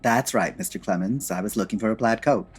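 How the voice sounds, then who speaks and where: An actor playing a suave, wry gentleman detective with husky, urbane delivery in a comedic radio-style drama. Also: lowish voice, fairly quick, a little hiss or hum, close to an everyday voice. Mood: wry, polite.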